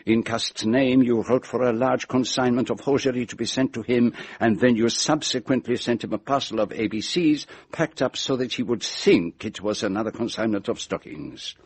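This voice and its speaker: An actor playing a shrewd, methodical detective in a radio mystery drama, speaking formally and deliberately as he sets out an accusation. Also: mid-range voice, husky. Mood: methodical, exposing. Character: shrewd, methodical male detective laying out his case.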